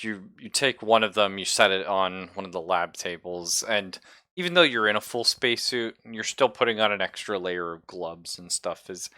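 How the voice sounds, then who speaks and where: A man narrating a tabletop sci-fi horror game as game master, halting as he thinks aloud. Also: lowish voice, sharp tone, no room sound, studio quality.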